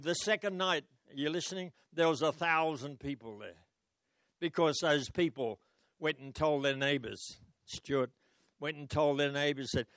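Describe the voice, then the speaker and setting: An older man with an Australian accent preaches a storytelling sermon into a handheld microphone. His voice is smooth and slightly high, and the sound is dry and close. He calls out questions to the congregation between his stories. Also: no echo. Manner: storytelling preacher, rising to loud exclamations and calling out to the congregation. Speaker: pastor. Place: church stage. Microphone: handheld mic.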